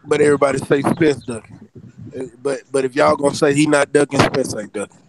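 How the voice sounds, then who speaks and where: A hoarse man losing his voice, calling in and arguing bluntly in a casual chat, swearing freely. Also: mid-range voice, steady tone, a little fast, background noise. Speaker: caller. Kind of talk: casual debate on a call.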